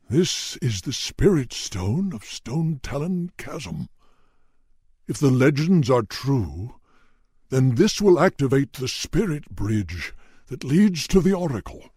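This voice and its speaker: A man playing a huge, wise old tauren chieftain in a fantasy video game, solemn and gentle, with a deep, gravelly voice. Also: dry recording, studio quality, exaggerated voice. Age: older.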